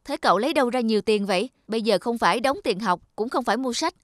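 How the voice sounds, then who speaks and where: A woman's voice: fairly high pitch, lively intonation, fast talker, no room sound, studio quality, natural voice. A woman voicing a chubby, caring schoolboy in a children's cartoon, earnest and a little scolding. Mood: suspicious.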